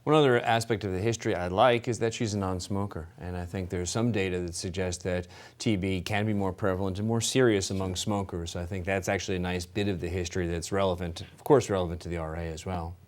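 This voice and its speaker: Low, quick male panelist, fluent and assured. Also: fairly even intonation. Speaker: panel expert.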